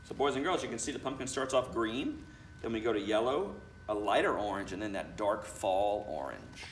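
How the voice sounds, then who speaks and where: A man's voice: on the low side, expressive, normal speed. A man, a school principal, reading a children's picture book aloud expressively, with chatty asides to the children. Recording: roomy, distant mic, faint noise.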